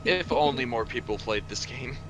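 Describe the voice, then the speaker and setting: A man's voice: mid-range voice, normal speed, fairly bright tone, slight background noise, poor audio. A man chatting casually with friends during a video game playthrough. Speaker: voice actor in a group game playthrough. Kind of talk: casual group banter over gameplay. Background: video game music.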